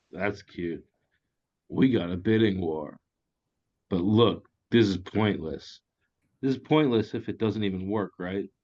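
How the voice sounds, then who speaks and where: A man's voice: low voice, slow pace, a little mellow. A man playing a swaggering, foul-mouthed goon boss in a comedic table read, mocking and condescending, over a dull online call. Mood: smug mockery.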